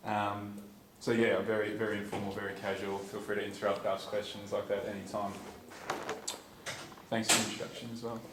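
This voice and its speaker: A young Australian man speaks casually to a lecture audience, low-pitched and fairly monotone. He rambles and restarts often. The sound is distant and roomy, with steady noise behind him. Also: brisk. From Perth, Western Australia. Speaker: student speaker at a conference. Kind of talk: informal talk to a lecture audience. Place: lecture theatre. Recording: echoey hall, distant, noisy.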